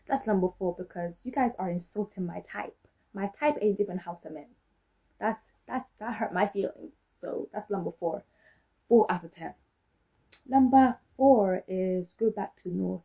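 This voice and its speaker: A woman's voice: medium pitch, moderate pace, gravelly, husky, lo-fi sound. A young British woman in her twenties, talking casually to camera in a sassy, sarcastic way, full of rhetorical questions. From Britain. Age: young adult. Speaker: vlogger.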